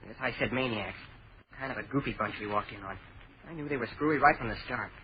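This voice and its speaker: Panicky young American man played by an actor, rapid, blunt, desperate to get out, muffled old-time radio horror. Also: mid-range voice, crowd noise, lightly acted. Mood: exasperated. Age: young adult. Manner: urgent, blunt, repetitive. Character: impatient, panicky young man bent on escape. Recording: muffled, noisy vintage radio broadcast.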